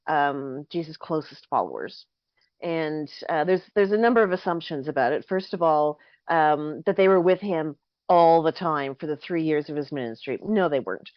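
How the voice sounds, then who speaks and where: A woman co-hosting a podcast, a pastor, slightly hoarse, explaining at length and correcting herself as she goes. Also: deep voice, expressive, normal speed, no echo, highs cut off. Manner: explains at length, thinking aloud with frequent self-corrections. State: a little hoarse. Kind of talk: casual explanatory chat.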